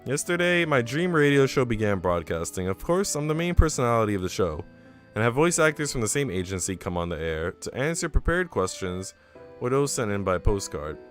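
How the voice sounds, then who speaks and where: A man's voice: medium pitch, sing-song, brisk, close to an everyday voice. A man reading a visual novel aloud as an earnest, reflective young woman who hosts a radio show. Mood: proud, reflective. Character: earnest, self-doubting young woman radio host in love. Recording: music behind the voice.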